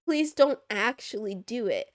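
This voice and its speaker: A woman's voice: fairly high pitch, animated, unhurried, dry recording, studio quality. A young woman streaming and chatting with viewers, playful and giggly with cat puns, her voice stuffy from congestion. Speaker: VTuber streamer. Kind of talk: casual livestream chat reading viewer messages.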